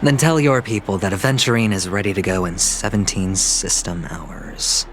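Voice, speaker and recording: A man's voice: deep voice, normal speed, a little hiss or hum. A man playing a suave, sly young gambler in a video game, with a smug, theatrical, teasing manner. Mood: smug, calculating. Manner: teasing, theatrical, breaking into soft chuckles and hushed asides.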